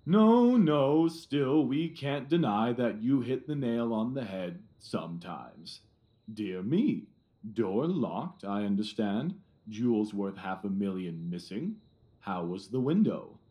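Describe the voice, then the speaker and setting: A blustering, self-satisfied police detective in an audio drama. He speaks slowly in a low voice that rises and falls widely. He is condescending and repeats himself for emphasis. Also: very animated, mellow. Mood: self-important musing.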